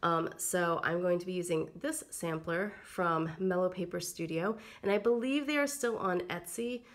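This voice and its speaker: A woman's voice: on the low side, normal speed. A woman getting over a cold, her voice slightly froggy, chatting casually through a planner walkthrough with lots of ums and likes. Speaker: planner vlogger. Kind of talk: casual plan-with-me narration.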